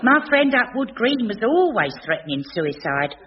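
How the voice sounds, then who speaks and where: An actress playing a morbid, matter-of-fact working-class London woman in a radio sitcom, with a deadpan manner. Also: fairly high pitch, moderate pace. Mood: deadpan knowing. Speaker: comic actress. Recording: dull, noisy old radio recording, audience behind.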